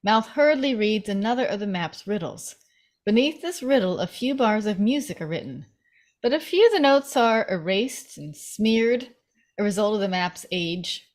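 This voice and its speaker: A woman narrates a screenplay, reading stage directions aloud for a table read. Her voice sits at a medium pitch in a scripted storytelling style. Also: lively intonation, moderate pace.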